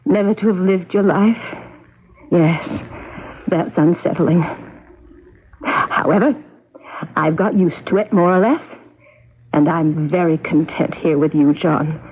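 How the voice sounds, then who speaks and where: A woman's voice: lowish voice, takes it slowly, long pauses, breathy edge. Shy sad woman, polite, hesitant, thin old radio drama sound. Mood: wistful. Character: timid, melancholy, dutiful woman. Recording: tinny vintage radio broadcast.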